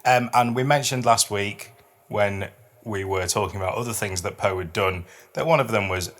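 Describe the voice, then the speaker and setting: A man's voice: fairly low pitch, moderate pace, a bit bright. A middle-aged man co-hosting a podcast, chatting casually and reading poetry in a self-deprecating, explanatory way. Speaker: podcast co-host.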